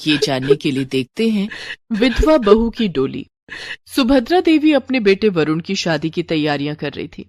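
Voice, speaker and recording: A woman's voice: lowish voice, no echo. Woman storyteller, dry studio-close sound. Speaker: storyteller, narrator. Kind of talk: scripted narration of a moral story.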